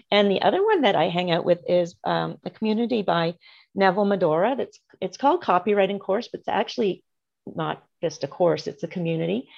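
A woman's voice: fairly low pitch, gravelly, darkish tone. Chatty woman on a dull call line. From Canada. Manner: friendly, chatty, rambling, laughs often. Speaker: guest, marketing strategist. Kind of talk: casual interview chat. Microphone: remote video call.

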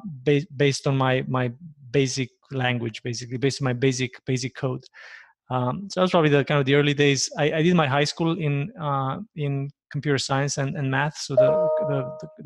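A man in his forties from Romania with a Romanian accent, a podcast guest answering in a measured way and repeating words as he thinks. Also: mid-range voice, no echo. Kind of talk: casual podcast interview.

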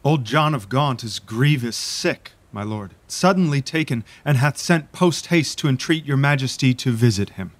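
A man's voice: mid-range voice, lively intonation, normal speed, few pauses, dry recording, close to an everyday voice. A man playing an urgent, respectful royal servant delivering verse in a Shakespearean radio drama.

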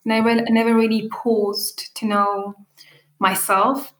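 A woman's voice: a little high, flat delivery, unhurried, a bit bright, a little hiss or hum. Earnest woman in an echoey room. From Eritrea and Ethiopia. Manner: earnest storytelling, frequent fillers. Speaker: coffee brand founder, guest. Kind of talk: interview answers.

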